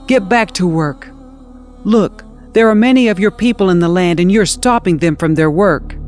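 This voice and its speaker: A woman's voice: fairly low pitch, moderate pace, darkish tone, soft background music, close to an everyday voice. A woman voicing an arrogant, harsh Egyptian king who barks scornful orders in a dramatized scripture reading. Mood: stern, commanding. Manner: imperious, scornful, barking orders.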